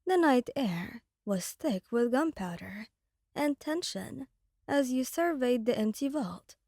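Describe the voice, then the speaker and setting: A woman's voice: high-pitched, animated, slow, a little mellow, no room sound, studio quality. A woman giving dramatic, scripted second-person narration for an ASMR audio roleplay.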